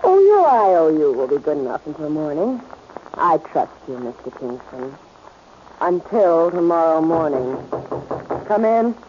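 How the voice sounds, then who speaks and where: An actress playing a shrewd, poised gambling-house boss, smooth, polite and commanding, in 1940s radio acting style. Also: female voice, low voice, big pitch swings, measured pace, warm tone. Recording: dull, noisy old radio recording.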